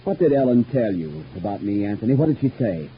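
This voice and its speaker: A man's voice: fairly low pitch, very animated, brisk. An actor playing a disturbed, bitter, suspicious man in an old radio soap, pressing with insistent questions. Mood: suspicious, probing. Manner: agitated, probing with insistent repeated questions. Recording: dull, noisy old radio recording.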